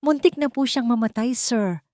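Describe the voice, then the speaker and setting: A woman voicing a wounded but polite and firm young housemaid in a dramatized love story, speaking with quiet restraint. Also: fairly high pitch, normal speed, no room sound, studio quality, pitched above the performer's own voice, natural voice. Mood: wounded reproach.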